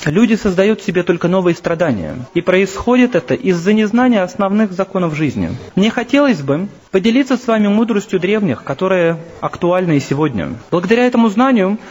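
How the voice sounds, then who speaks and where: A man in his thirties giving a recorded spiritual lecture from a temple, in an earnest, measured and personal way. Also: sits high, expressive, a little mellow. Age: 30s. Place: seated in a temple. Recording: dull lo-fi recording with faint ambience.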